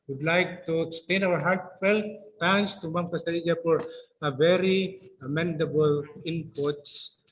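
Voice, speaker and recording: A Filipino-accented man speaks formally and politely as a participant. His voice is somewhat high for a man, and he takes his time, repeating words. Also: fairly even intonation, a little slow, a little hiss or hum, low-quality recording. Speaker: teacher, participant. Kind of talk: formal remarks from the floor.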